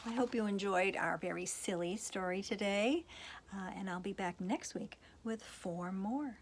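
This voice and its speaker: A woman's voice: mid-range voice, measured pace, a little hiss or hum. A woman reading a children's picture book aloud, playful and chuckling, with asides to her listeners. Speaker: storytime reader, teacher.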